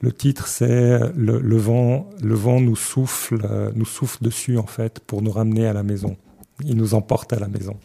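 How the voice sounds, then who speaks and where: Reserved man with a gravelly, very dark voice. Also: fairly low pitch, little pitch movement, moderate pace. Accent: Genevan French. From Switzerland (Geneva). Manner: reserved, hesitant, many restarts. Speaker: guest author. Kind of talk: radio interview.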